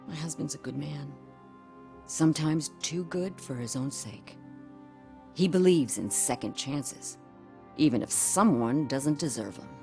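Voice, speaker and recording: A worried wife in a video game scene. She has a slightly low voice and a blunt, exasperated way of speaking, with faint music underneath. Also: animated, unhurried, creaky, dark tone, full character voice. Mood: anxious, sad. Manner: blunt, exasperated, plain-spoken. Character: worried, bitter wife of a missing policeman.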